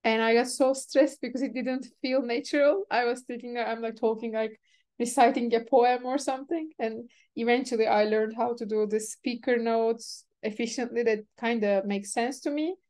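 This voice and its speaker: A woman whose first language is Turkish, hosting a webinar and chatting quickly with many fillers over a video call. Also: a little high, fairly even intonation, a little mellow. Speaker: webinar organizer. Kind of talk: casual webinar chat.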